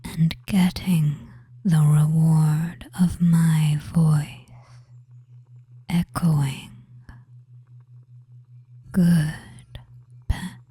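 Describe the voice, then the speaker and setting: Femboy witch hypnotist, male performer: very dark, soft whisper, flat and lulling, quietly dominant, for ASMR roleplay. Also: fairly high pitch, dry recording, heavily acted. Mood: soothing, possessive. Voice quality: breathy whisper. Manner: very slow, lulling, murmured commands with long pauses.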